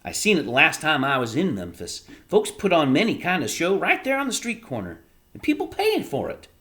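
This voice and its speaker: A man voicing a distraught poor young boy who shouts in rough slang. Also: a little high, wildly swooping pitch, fairly quick, voice pushed up, exaggerated voice. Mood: eager.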